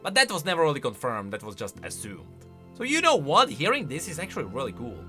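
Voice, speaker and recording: Chatty guy reacting to game lore, bright forceful voice, really animated, little bit of music behind. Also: on the high side, quick pace. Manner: informal, opinionated, chatty. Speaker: video game lore commentator. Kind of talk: casual reaction commentary.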